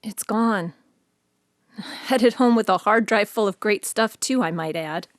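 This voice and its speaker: Friendly, sensible female radio host, played by an actress, radio play. Medium pitch, matter-of-fact, conversational, dry studio sound. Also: measured pace, dry recording, lightly acted. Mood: casual, friendly.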